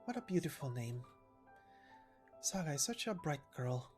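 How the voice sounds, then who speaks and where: A woman plays a playful schemer from a game story. The voice sits a little low and muses in a taunting way, with music clearly playing underneath. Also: big pitch swings, normal speed, a little mellow. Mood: cool musing. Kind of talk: game story character voicing.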